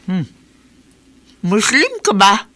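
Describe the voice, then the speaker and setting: An actress playing a rude, prejudiced city neighbor who complains and drives a stranger away, in a radio drama. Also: female voice, medium pitch, wildly swooping pitch, extremely slow, heavily acted. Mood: suspicious. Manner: complaining, then shouting.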